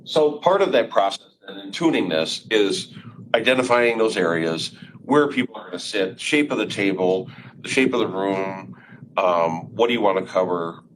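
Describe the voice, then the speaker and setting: A man with a very deep, low voice hosts a live webinar demo in a conference room. He asks relaxed guiding questions, picked up by a room mic with clear background noise underneath. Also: normal speed. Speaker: webinar host.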